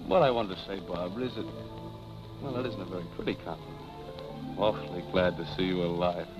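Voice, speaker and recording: An American actor in his thirties playing a smooth, apologetic young husband, earnest and glib, in a 1940s Hollywood film. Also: sits low, fast, natural voice. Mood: pleading, tender. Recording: muffled vintage film soundtrack with music.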